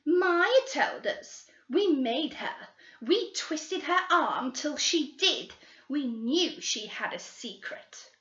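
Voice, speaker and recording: A woman voicing two excitable, greedy young sisters who talk in breathless, eager bursts. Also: sits high, takes it slowly, pitched above the performer's own voice, heavily acted. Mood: excited. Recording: roomy, slightly dull recording.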